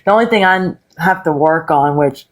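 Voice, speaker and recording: Deep-voiced woman with a country twang. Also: normal speed. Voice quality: deep voice with bass; smooth, calming. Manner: candid, frequent swearing and laughter. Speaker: guest. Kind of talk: casual live chat.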